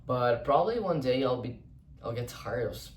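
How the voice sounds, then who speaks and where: A man's voice: mid-range voice, lively intonation, moderate pace, recorded from a distance, slight background noise. A young man whose first language is Portuguese, thinking aloud in English in an unscripted personal monologue, rambling and restarting often, with some casual swearing. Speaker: vlogger. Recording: roomy echo, voice set back from the mic.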